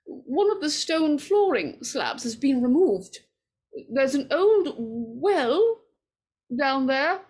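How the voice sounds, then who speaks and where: An actress playing a frightened, superstitious older woman in 1940s radio horror style, breathy and stammering. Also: high voice, sing-song, a little mellow, full character voice. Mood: nervous, hesitant. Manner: halting, stammering.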